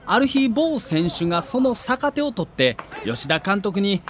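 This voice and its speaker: A man's voice: sits high, sing-song, unhurried. A man reading a scripted documentary narration with a theatrical storyteller's delivery.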